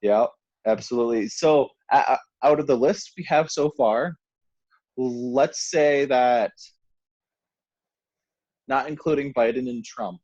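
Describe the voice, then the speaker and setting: A man's voice: medium pitch, slow, pauses often, a little mellow, no echo, lo-fi sound. A man of twenty-eight, co-hosting a podcast in casual chat, talking hesitantly and often stammering over restarts. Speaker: podcast co-host.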